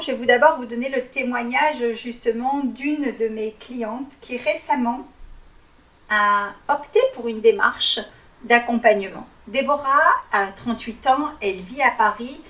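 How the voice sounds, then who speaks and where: Soft-spoken woman coach in an echoey room. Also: on the high side, far from the mic. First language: Arabic. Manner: calm and didactic, with frequent hesitations. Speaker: life coach. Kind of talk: guided reflection talk.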